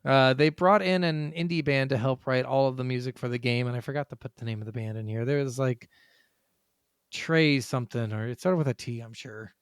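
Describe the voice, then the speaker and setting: A man's voice: medium pitch, a little fast, smooth, no echo, studio quality. A man co-hosting a podcast in casual chat, working through his notes with rambling, sometimes profane asides. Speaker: podcast co-host.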